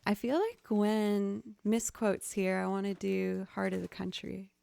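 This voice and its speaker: A woman hosting a live music stream, chatting casually with viewers in a soft, raspy voice. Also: medium pitch, fairly even intonation, unhurried, no echo. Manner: quiet, gentle, laid-back talk. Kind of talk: casual livestream chat with viewers.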